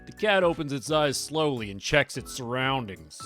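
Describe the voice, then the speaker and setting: A woman streamer reading a visual novel aloud with expressive, dramatic storytelling. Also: very deep voice, normal speed. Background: game music.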